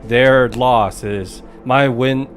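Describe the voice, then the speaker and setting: A man's voice: mid-range voice, fairly even intonation, extremely slow, faint music behind. A man doing casual let's play commentary on a horror game, tossing out wry, teasing replies to it. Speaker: streamer playing a horror game.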